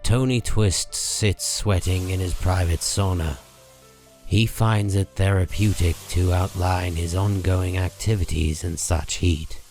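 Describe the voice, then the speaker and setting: A man narrating a dark comic book drama in a measured, solemn, deliberate way. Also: low voice, fairly even intonation, soft background music.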